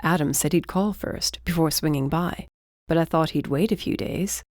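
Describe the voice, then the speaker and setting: A woman reader plays a protective young man in a storybook. He is low-key and laconic, with a muted, lowish voice in a dry recording. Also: normal speed, a little mellow, no room sound.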